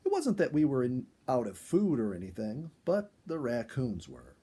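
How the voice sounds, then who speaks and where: A man reading a first-person animal story aloud in an expressive storyteller's style. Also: mid-range voice, normal speed, breathy edge. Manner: expressive, acts out dialogue. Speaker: storyteller, reader.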